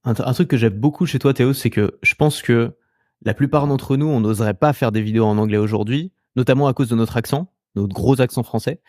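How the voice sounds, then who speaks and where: A man hosts a casual podcast interview, probing his guests and pausing on fillers as he thinks. His voice sits at an ordinary pitch. The sound is dry and close. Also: a little mellow, no echo. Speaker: podcast host.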